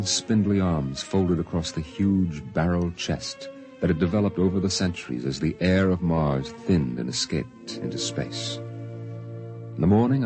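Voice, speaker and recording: A man playing a sober, reflective narrator in a 1950s radio drama, telling his memories in measured, literary phrases. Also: low voice. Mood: sober, foreboding. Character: grown man soberly recalling his boyhood on Mars. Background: music.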